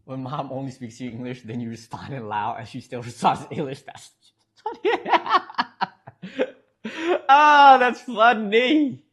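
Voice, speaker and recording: A man's voice: medium pitch, animated, unhurried, a bit bright, breathy edge. A man with a Midwestern American accent streaming from home, rambling casually to his chat and laughing often.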